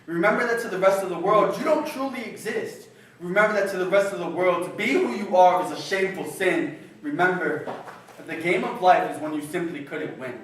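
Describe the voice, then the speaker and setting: A man voicing the Earth itself in a slam poem, coldly hushing a child with slow admonishment. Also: high voice. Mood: hushing, ominous. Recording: very echoey room, distant mic, faint noise.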